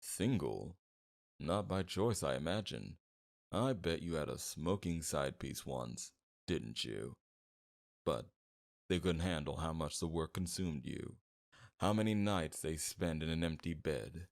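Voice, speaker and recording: A very deep-voiced, smug mob boss played by a man in an audio roleplay. He talks with heavy fry, suave and teasing, flirting freely while keeping a menacing edge beneath it. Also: expressive, heavily acted. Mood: probing, flirtatious. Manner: teasing, suave, drawn-out flirtation with veiled threats. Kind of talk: ASMR roleplay, scripted character acting.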